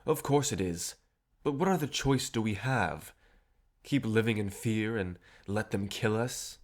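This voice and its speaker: An actor playing a cheerful, self-assured, century-old vampire rebel leader in an audio drama, courteous and persuasive with a theatrical manner. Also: male voice, lowish voice, measured pace. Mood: determined, persuasive. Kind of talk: scripted audio drama acting.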